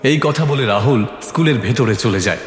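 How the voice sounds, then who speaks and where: A man's voice: medium pitch, expressive, normal speed. A man reading a scripted horror story as its narrator. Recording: echoey, long hall-like reverb.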